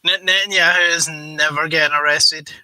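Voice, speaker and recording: A man's voice: a little high, fairly even intonation, normal speed, bright, forceful tone, dry recording. A man in casual livestream conversation, opinionated and often repeating his phrases as he goes. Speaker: co-talker on a livestream. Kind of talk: casual political chat.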